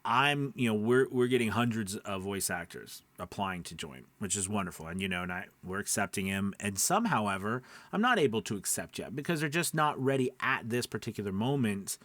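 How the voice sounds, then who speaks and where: A man's voice: lowish voice, lively intonation, a little fast, hardly any pauses, a bit bright, no room sound. A man giving informal, off-the-cuff coaching as a host, rambling and emphatic, repeating points and often checking in with listeners. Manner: frequent check-in tags. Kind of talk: informal off-the-cuff teaching talk.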